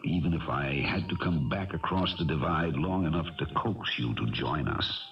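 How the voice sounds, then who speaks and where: An actor playing a calm, assured ghostly businessman in a radio drama, gravelly, unhurried and reassuring. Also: extremely low pitch, recorded from a distance. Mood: gentle, coaxing. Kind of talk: 1970s radio drama acting. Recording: muffled 1970s radio broadcast, music behind.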